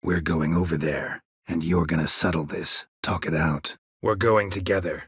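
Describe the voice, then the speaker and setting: Weary video game monster hunter: American, very husky, low, terse and deadpan. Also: male voice, normal speed, dry recording, clean studio sound. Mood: flat, businesslike. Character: gruff, weary, sardonic male monster hunter.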